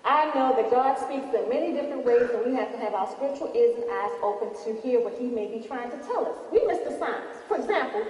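A woman's voice: fairly high pitch, animated, fast, hardly any pauses, darkish tone, lightly acted. An actress playing a devout, patient woman who speaks instructively in a stage play. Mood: patient teaching. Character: patient, devout woman gently teaching spiritual lessons. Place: large theater stage. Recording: echoey hall, distant mic, faint noise.

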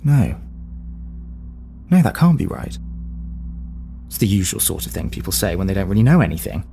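An actor playing an anxious, indignant, paranoid businessman in an audio drama, halting and often interrupting himself. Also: deep voice, sing-song, creaky, mellow, a little hiss or hum, full character voice. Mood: flustered disbelief. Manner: halting, muttering, self-interrupting. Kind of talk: audio drama monologue and phone dialogue.

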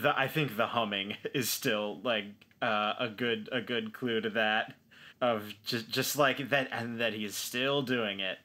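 A man talks through his character during a tabletop game. His voice is bright and forceful, mid-pitched, and his delivery is slow and halting as he searches for words. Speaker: player in a tabletop role-playing game. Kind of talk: improvised description of a character in actual play.

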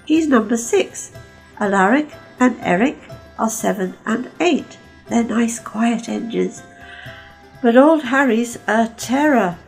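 A woman's voice: on the high side, lively intonation, takes it slowly, faint music behind, low-quality recording. A woman voicing a pair of friendly old male engines with a chatty, gossipy manner.

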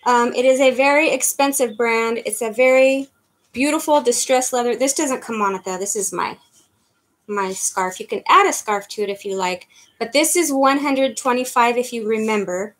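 A woman's voice: a little high, moderate pace, fairly bright tone. A woman in her fifties hosting a live online handbag auction from her living room, chattily calling out bids and thanking viewers by name. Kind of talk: live-stream auction patter. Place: living room at home, indoors. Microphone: webcam in front of her.

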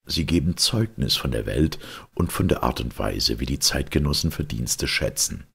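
A man narrating a literary story with solemn, theatrical weight and a gravelly, husky voice. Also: a bass voice, animated, normal speed. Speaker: audiobook narrator.

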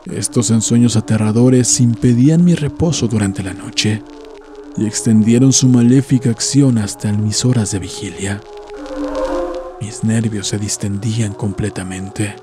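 A man reading a scripted horror story as an audiobook narrator, in a measured, solemn, literary manner. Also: lowish voice, mellow. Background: background music.

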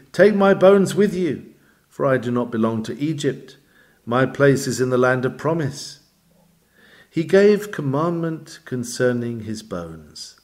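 A man reading an old sermon aloud in a measured, emphatic, oratorical manner. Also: a little high, darkish tone. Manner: emphatic, measured, formal delivery. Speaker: reader of a historical sermon.

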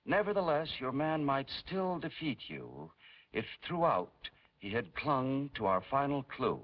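A middle-aged man presenting a 1950s scripted narration in a measured, formal, dramatic manner. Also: fairly low pitch. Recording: dull vintage 1950s film soundtrack, faint hiss.

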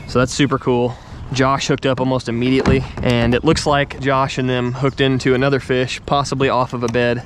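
Chatty man vlogging from a kayak. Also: medium pitch. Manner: chatty, excitable, talks straight to viewers. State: tired. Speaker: fishing channel host. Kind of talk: casual on-the-water vlog narration. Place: outdoors on a river, in a kayak and on the bank. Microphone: action camera. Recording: wind and water noise from outdoor camera.

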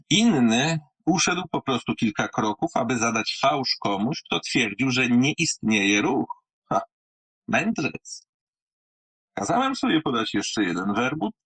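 A man reading and presenting literature in a theatrical, wry manner, with deliberate pauses and a husky voice. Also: mid-range voice, a bit bright, low-quality recording.

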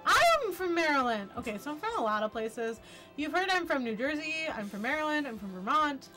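A young woman from the US East Coast talks loosely and swears freely while playing, slightly tipsy and giggly. Her voice sits a bit high and bright. Soft game music plays under her desk-mic chatter. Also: lively intonation, brisk. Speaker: co-streamer guest. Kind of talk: casual gaming stream chat.

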